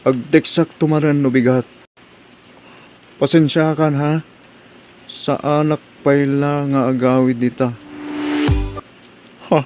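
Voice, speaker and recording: Man acting a slow-talking, worried husband. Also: medium pitch, fairly even intonation, darkish tone, faint music behind, natural voice. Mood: puzzled, musing. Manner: hesitant, musing aloud. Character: worried, bewildered husband confiding in his mother. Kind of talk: Ilocano radio drama acting.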